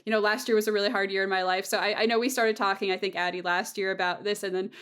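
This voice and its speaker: A woman's voice: mid-range voice, steady tone, fast, fairly bright tone, smooth. A woman hosting a casual, heartfelt podcast conversation, effusive and grateful in manner. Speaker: podcast host and audio drama creator.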